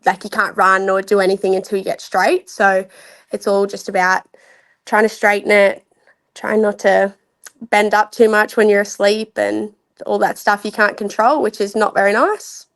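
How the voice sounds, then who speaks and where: A woman's voice: mid-range voice, little pitch movement, normal speed, fairly bright tone. A young woman, a rugby league player talking openly and easily as a podcast guest. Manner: open, easygoing, candid. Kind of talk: casual interview chat.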